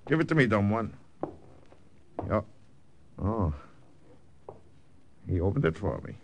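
Imperious brandy-swilling officer, sneering and animated, noisy old radio recording. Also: male voice, on the low side, slow, gravelly, full character voice. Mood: mocking, drunk. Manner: imperious, sneering, barking orders. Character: arrogant, bullying, brandy-swilling German army major. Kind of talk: old-time radio drama acting.

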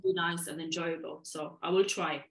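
A woman from Poland with a Polish accent, speaking English as a second language, who talks deliberately slowly as a podcast guest. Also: fairly low pitch, flat delivery, a bit bright, reverberant. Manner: deliberately slowed, careful speech. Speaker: guest, waxing specialist. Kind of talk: podcast interview.

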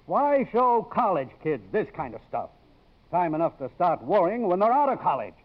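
An actor playing a blustering, smug college trustee in a 1950s radio drama, blunt, pompous and grumbling. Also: male voice, high-pitched, sing-song, moderate pace. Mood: smug, firm. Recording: muffled old radio broadcast.

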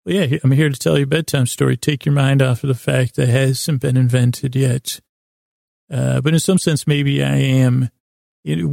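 A man with a gravelly voice, hosting a bedtime podcast in a meandering, halting monologue that often trails off mid-thought. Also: medium pitch, warm tone, dry recording, studio quality. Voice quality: gravelly, creaky. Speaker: podcast host. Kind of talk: rambling bedtime-story monologue.

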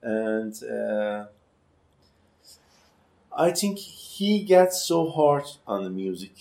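A man in his thirties from Iran talks in halting English, his first language Persian. He sits a little low in pitch and stops and restarts as he hunts for words. The room around him rings back with noticeable echo. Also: sing-song, creaky, a little mellow. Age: 30s. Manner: halting non-native English with many restarts. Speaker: musician guest. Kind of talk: casual interview chat.

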